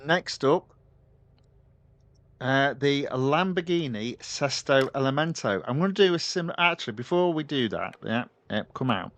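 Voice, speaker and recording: A man giving relaxed live commentary on a racing game and chatting with viewers. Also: medium pitch, a little slow, no room sound. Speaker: gaming livestreamer. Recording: voice with constant game engine noise. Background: racing car engine sounds from the game.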